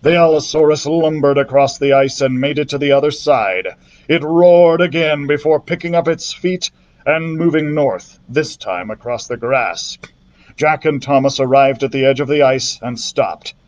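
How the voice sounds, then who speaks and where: A male librarian reading a children's adventure book aloud in a steady storytelling manner, with the odd slip. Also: fairly high pitch, moderate pace, dull audio. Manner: steady storytelling narration with small slips.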